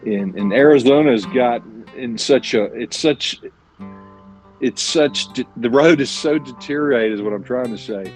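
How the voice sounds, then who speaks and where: A man's voice: mid-range voice, unhurried, darkish tone, faint music behind, poor audio. A man who drives his own truck, answering interview questions in a plainspoken, earnest way, with many restarts and self-corrections. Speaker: owner-operator truck driver, interview guest.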